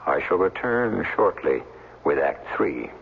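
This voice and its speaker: A man's voice: sits low, sing-song, unhurried, vocal fry, slight background noise. A man hosting a 1970s radio mystery with formal, theatrical, suspenseful scripted narration. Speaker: host of radio mystery series. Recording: tinny vintage radio, loud music.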